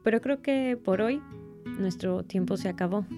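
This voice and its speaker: A woman's voice: mid-range voice, moderate pace, dark tone. A woman co-hosting a podcast in a partly scripted presenting style. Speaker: podcast co-host. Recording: guitar music under the voice. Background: guitar music.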